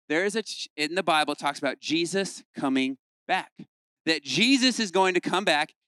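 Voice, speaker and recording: Upbeat young pastor with a crisp voice. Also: male voice, a little high, no echo. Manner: lively, playful, acts out quoted voices. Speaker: youth pastor. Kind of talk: casual youth sermon.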